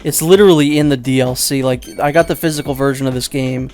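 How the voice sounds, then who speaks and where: A man's voice: mid-range voice, steady tone, fast, a little mellow. Rambling man doing casual game commentary over music. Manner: rambling, second-guesses himself aloud; casual swearing. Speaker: let's play host.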